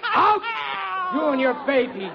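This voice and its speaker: An American man acting a plaintive, overwrought, self-pitying but soft-hearted middle-aged father in an old-time radio comedy. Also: high voice, lively intonation, moderate pace. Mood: furious, shouting. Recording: muffled old radio recording, studio audience, hiss.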